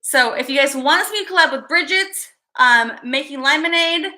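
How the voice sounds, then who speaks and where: Chatty high-voiced woman on a livestream. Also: moderate pace, sharp tone. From Chicago, USA. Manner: rambling, chatty, reads viewer names aloud. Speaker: livestream host. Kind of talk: casual livestream chat.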